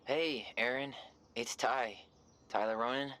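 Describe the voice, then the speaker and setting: An actor playing an easygoing young American man in a video game, speaking casually and with gentle, understated humor. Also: mid-range voice, steady tone, unhurried, lightly acted. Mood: hesitant, reflective. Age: young adult. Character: easygoing young man, twin brother leaving his childhood home. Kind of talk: naturalistic video game dialogue.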